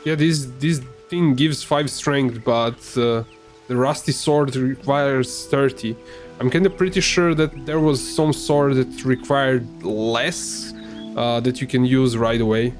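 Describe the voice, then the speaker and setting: Man, Serbian native speaker, slow unhurried delivery, hesitant with fillers, faint music behind. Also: mid-range voice, steady tone. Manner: halting, many fillers and restarts, soft laughs. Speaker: gamer. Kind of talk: casual gameplay commentary.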